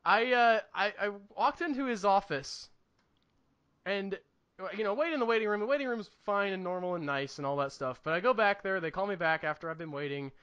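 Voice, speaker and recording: A man co-hosting a podcast in casual comedic chat, telling stories in an emphatic, animated way with repetition for effect. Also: high voice, brisk, smooth, lo-fi sound. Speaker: podcast co-host.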